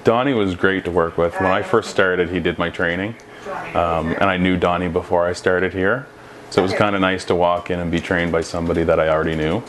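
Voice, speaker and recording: A man, a dispatcher giving casual interview answers over clear background noise. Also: deep voice, steady tone, brisk. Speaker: emergency dispatcher.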